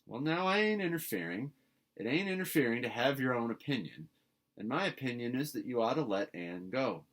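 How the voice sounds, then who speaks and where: A man voicing a mild older farm man in a storybook, plain, folksy and quietly insistent. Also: medium pitch, sing-song, brisk. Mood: quietly stubborn.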